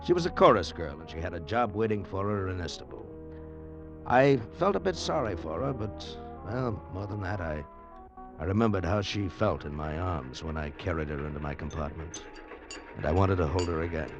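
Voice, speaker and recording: A man's voice: low voice, animated, normal speed, highs cut off. An actor playing a smitten, gallant traveler who narrates his train adventure in 1940s radio style. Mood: wistful narrating. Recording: vintage radio drama with organ music.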